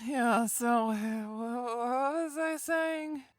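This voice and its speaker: Woman as a bitter, high-voiced young woman. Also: drawn-out words, dry recording, studio quality, soft background music. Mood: bitter exasperation. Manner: rambling, exasperated, groaning. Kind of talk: comic monologue acting.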